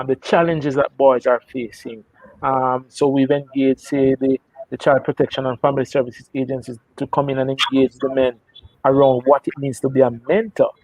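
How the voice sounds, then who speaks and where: A man, a guest on a radio talk show, explaining a mentoring programme in a measured way with frequent filler sounds and restarts. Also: a little high, darkish tone, no room sound, low-quality recording. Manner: measured, many fillers and restarts, rises in emphasis. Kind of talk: radio interview answer.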